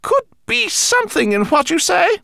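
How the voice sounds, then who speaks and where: A man voicing a brisk, squeaky female rat pilot. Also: big pitch swings, gravelly, pushed and bright, no echo, studio quality, heavily acted. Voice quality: high squeaky put-on voice. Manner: brisk, quick, commanding. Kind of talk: audiobook character voice.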